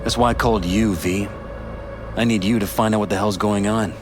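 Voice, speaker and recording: An actor playing a polished but troubled middle-aged politician in a video game, speaking in a measured, courteous, earnest way. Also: male voice, on the low side, fairly even intonation, lightly acted. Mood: concerned. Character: polished, troubled male politician confiding in an investigator. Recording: music behind.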